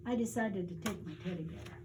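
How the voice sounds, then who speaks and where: An older woman, a grandmother, reading a children's storybook aloud in a deliberate, animated storytelling way. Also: medium pitch, background noise.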